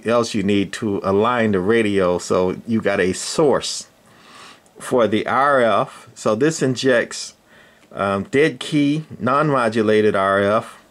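A man presenting electronics equipment in an informal, unscripted way, explaining it in a halting, rambling manner. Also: mid-range voice.

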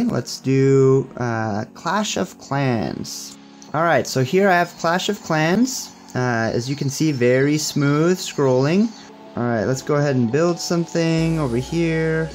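A man's voice: on the high side, lively intonation, slow, darkish tone, slight background noise. A young man giving a casual hands-on tech demo in an upbeat, approving manner. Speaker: tech reviewer.

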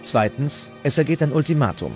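A man's voice: mid-range voice, lively intonation, a little fast. Male documentary narrator over prominent music.

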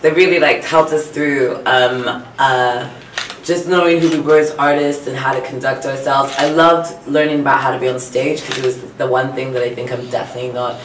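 A woman's voice: a bass voice, fairly even intonation, fairly quick. A young woman from Tanzania talking casually as a singer-songwriter guest in an interview. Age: young adult. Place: small radio studio. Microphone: large studio microphone on a boom arm. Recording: echoey room, distant sound, background noise.

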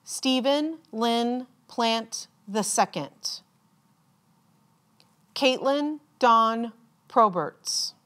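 A woman with a slightly high voice serves as a formal ceremony announcer. She reads names extremely slowly, enunciating each one deliberately and leaving measured pauses. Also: fairly bright tone. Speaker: commencement announcer reading graduates' names.

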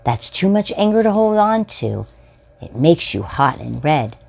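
A woman's voice: low voice, sing-song, unhurried, creaky, a little mellow, voice pushed up, exaggerated voice. A woman voicing an understanding, encouraging father talking to his small child in a storybook.

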